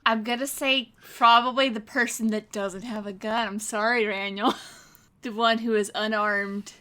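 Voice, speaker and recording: A woman's voice: fairly high pitch, fairly even intonation, unhurried, pushed and bright. A woman casually improvising story narration as a player in a tabletop roleplaying game. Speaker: player and scene director.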